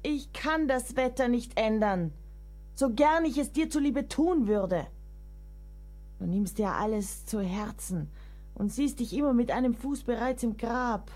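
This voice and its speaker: A woman's voice: on the high side, animated, unhurried, a little mellow. An actress in a radio play, playing a devoted but exasperated middle-aged woman writer with a motherly, wry, dramatic manner.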